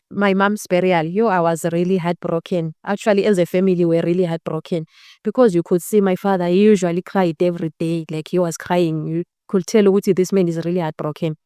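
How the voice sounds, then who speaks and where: A woman's voice: on the low side, darkish tone, clear, resonant tone, dry recording, studio quality. A woman in her twenties with a Zimbabwean accent and Ndebele as her first language, earnestly telling her personal story as an interview guest and switching between English and her own language. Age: 20s. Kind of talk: personal testimony in a documentary-style interview.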